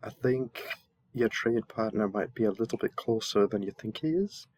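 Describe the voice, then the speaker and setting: A man's voice: fairly low pitch, moderate pace, darkish tone, no room sound, close to an everyday voice. A man playing a flustered, polite envoy mistaken for a servant, speaking hesitantly with stammers and deference in a roleplay audio drama. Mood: polite, reserved.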